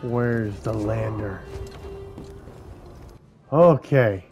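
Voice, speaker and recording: Male playthrough host, unhurried and rambling, casual, light game music underneath. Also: fairly low pitch, darkish tone. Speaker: gaming channel host.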